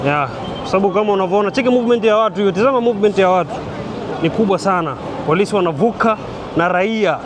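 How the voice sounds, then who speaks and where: A man's voice: high voice, very fast, noisy background. A Tanzanian man with a Tanzanian accent, chatting and joking to viewers as he films a walking vlog on a busy city street. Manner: joking, chatty, addresses viewers, laughs often. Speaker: vlogger. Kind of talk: casual walking vlog commentary. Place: busy city street outdoors at night celebration. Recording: street recording with loud crowd and music.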